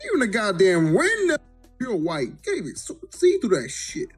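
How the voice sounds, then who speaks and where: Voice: mid-range voice, huge pitch swings, moderate pace, husky, faint music behind. A person giving a crude, mock-dramatic reading of a comic story, sometimes breaking off into blunt asides. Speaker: amateur story reader.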